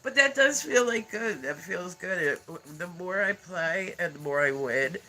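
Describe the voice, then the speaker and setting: A man's voice: high-pitched, animated, unhurried, pushed and bright, low-quality recording. Congested, nasal man chatting on a livestream. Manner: rambling, many filler words, frequent laughter. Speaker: live streamer. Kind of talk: casual livestream chat.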